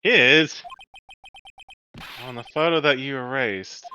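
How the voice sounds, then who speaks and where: A man's voice: medium pitch, takes it slowly, sharp tone, lo-fi sound, faint music behind. A man voicing an exasperated, incredulous young male defense lawyer in an amateur video game read.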